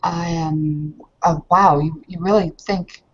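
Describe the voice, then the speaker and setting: A woman speaks in character during an improvised game. Her voice sits fairly low and she feels out each question with hesitation. The audio is slightly muffled and lo-fi. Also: fairly even intonation, slow, husky. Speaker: player in an online roleplaying session. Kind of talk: in-character improvised roleplay talk.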